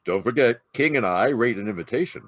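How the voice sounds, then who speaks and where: An actor playing a brave, steady Mountie sergeant in an old-time radio adventure, authoritative and reassuring. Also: male voice, mid-range voice, moderate pace, dry recording, natural voice. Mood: commanding, reassuring. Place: remote online performance from a close mic.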